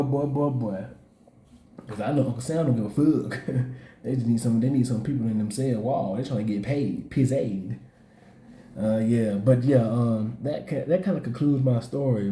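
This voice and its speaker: Dark-voiced Southern man rambling. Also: on the low side, reverberant. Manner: rambling, repetitive, profane street slang. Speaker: blogger. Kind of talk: casual commentary vlog.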